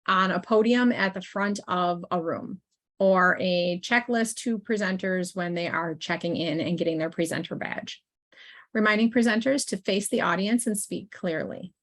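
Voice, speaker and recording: A woman presenting an online training as a consultant, talking informally with frequent "um"s and asides to the audience. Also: mid-range voice. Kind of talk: conversational webinar presentation.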